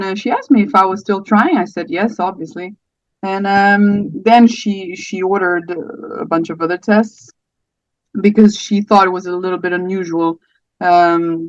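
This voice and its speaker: Casting: Portuguese-accented woman, late thirties, halting and gentle, telling her story; dry, dull lo-fi sound. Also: fairly low pitch, no room sound. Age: 30s. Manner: soft-spoken, hesitant, many fillers. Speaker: podcast guest. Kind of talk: personal story in conversation.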